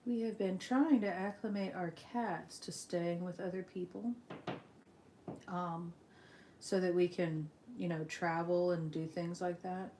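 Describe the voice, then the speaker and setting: A woman's voice: fairly low pitch, fairly even intonation, measured pace, mellow, a little hiss or hum. A woman chatting casually on a live stream as she sets up, rambling with frequent ums, a little sniffly from allergies.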